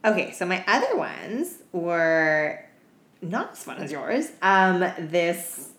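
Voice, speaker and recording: Female co-host, low register with lively inflection, casual, teasing and slangy, in a roomy-sounding space. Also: slow. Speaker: podcast co-host. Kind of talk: casual chat. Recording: roomy, echoey room.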